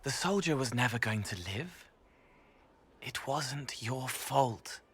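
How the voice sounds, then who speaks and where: An actor playing a gentle young male spirit in a video game, speaking in halting, dreamlike fragments of poetry. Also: mid-range voice, animated, a little hiss or hum. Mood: earnest, soothing. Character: gentle young male spirit who senses others' pain.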